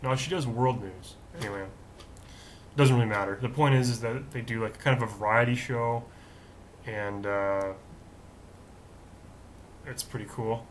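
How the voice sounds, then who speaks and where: A man with a deep voice hosting his own daily vlog, rambling in a chatty, self-mocking way with lots of fillers. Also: steady tone, a little slow, pauses often, slight background noise, rough recording. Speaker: vlog host. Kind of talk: casual rambling vlog monologue.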